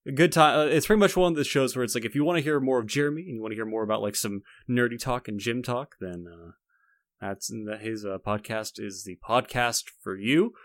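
A man's voice: medium pitch, a little fast, dry recording, studio quality. Rambling, hesitant male podcast host. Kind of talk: casual chat.

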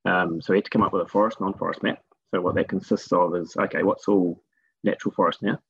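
A man with a New Zealand accent, a guest telling stories about his work in a relaxed, rambling way with many fillers. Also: low-pitched, fast talker, creaky, slightly rough, dry recording, dull audio. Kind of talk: casual interview talk.

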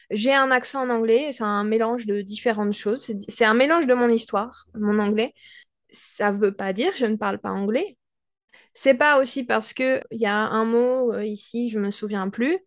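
Woman podcast co-host, language teacher, Mexican-tinged French accent, reflective and halting, slightly high voice, dry recording. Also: no room sound. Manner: thoughtful, hesitant with many restarts and fillers. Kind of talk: casual conversation.

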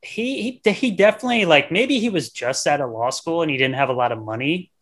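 A man with a slightly high voice chats as a podcast co-host. His delivery is casual and blunt, full of slang. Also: animated, fairly quick, fairly bright tone. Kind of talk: casual movie discussion.

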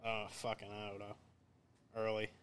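A man's voice: fairly low pitch, monotone, moderate pace, fairly bright tone, clean tone. A man chatting casually on a podcast in short, noncommittal replies. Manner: laconic, noncommittal. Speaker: podcast participant. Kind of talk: casual chat.

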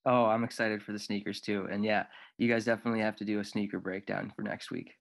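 A man guests on a podcast, chatting quickly in a fairly low, creaky voice. His delivery stays even, with little rise and fall. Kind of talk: casual chat.

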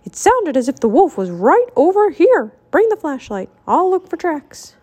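A sensible but grumpy male cartoon mouse, acted out by a woman storyteller, in a raised, lively pitch. He speaks sternly, like a skeptic scolding a friend in a storybook. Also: normal speed. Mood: hurried. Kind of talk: children's storybook character acting.

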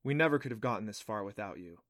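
A man's voice: fairly low pitch, expressive, fast, a bit bright, no echo, studio quality, pitched below the performer's own voice. A man voicing a curious, teasing boy adventurer in an audiobook, with an earnest, boyish manner. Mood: grateful. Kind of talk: audiobook character voice.

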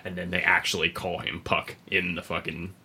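Man, low-pitched, husky, sweary, rambling podcast host, casual game lore chat. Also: level tone, moderate pace, sharp tone.

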